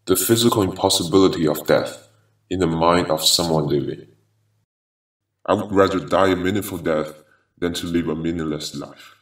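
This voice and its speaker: Man voicing very deep, brooding Vincent, echoing. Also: fairly even intonation, natural voice. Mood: ominous, philosophical. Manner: grave, deliberate, ominous delivery. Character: Vincent, vengeful half-machine former attorney, brooding and menacing. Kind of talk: scripted dramatic horror game monologue. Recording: echoey, distant-sounding voice.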